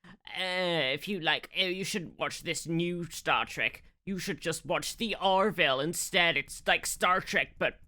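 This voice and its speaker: A man's voice: on the high side, a little slow, sharp tone. A man hosting a podcast in casual chat, with a blunt manner and a habit of acting out quotes. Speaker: podcast host.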